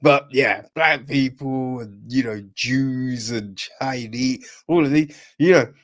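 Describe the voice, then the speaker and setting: Slow, rambling man reviewing a film. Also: mid-range voice, fairly bright tone. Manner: rambling, frequent tag questions like "right?" and "you know"; opinionated and irritated. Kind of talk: casual podcast review.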